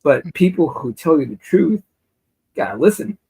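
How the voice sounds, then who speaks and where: Male musician guest, husky voice, slightly high, laughs through his stories. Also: moderate pace. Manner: animated storyteller who laughs often. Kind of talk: casual chat on a live stream.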